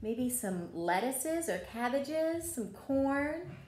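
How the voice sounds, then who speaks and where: A woman teacher reading a picture book aloud to young children, expressive and encouraging, often turning to the listeners with questions. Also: high voice, takes it slowly. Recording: roomy, echoing room sound.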